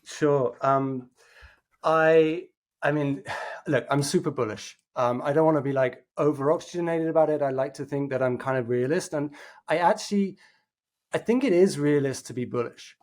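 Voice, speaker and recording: A man with a fairly high-pitched voice speaks as a guest on a podcast. He talks earnestly and thoughtfully, working through his ideas with plenty of fillers and restarts. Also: moderate pace. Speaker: guest, innovation executive. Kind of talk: casual interview chat.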